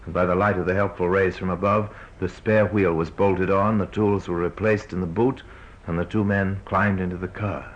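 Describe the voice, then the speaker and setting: Grave, wry male narrator, very low and measured, old radio crime drama, muffled sound. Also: steady tone. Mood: wry, ominous. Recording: dull old radio recording.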